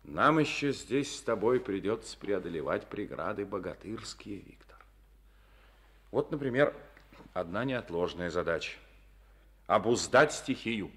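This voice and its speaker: Soviet radio drama: a coaxing, reassuring party secretary, lively pitch. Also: male voice, unhurried. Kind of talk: Soviet radio play acting.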